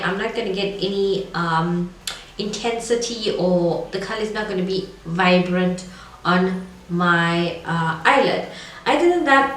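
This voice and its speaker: A woman's voice: mid-range voice, a bit bright. Woman with the flu, scratchy stuffed-up voice, rambling makeup chat, sounds far off in a roomy space. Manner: chatty, rambling, with fillers. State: hoarse from a cold, congested. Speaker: beauty vlogger. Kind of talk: casual makeup review. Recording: echoey room, far from mic, faint noise.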